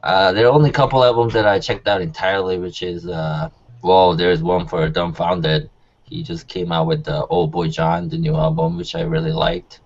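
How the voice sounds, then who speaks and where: Deep-voiced young man in casual chat. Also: lively intonation, normal speed, gravelly. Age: young adult. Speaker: panelist on a music talk show. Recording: dull sound with background noise.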